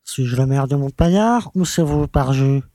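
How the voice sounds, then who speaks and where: Bossy, mellow-voiced village mayor, played by a man. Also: medium pitch, lively intonation, moderate pace, dry recording, clean studio sound, lightly acted. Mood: imperious. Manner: peremptory, repeats his orders. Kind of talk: French audio drama acting.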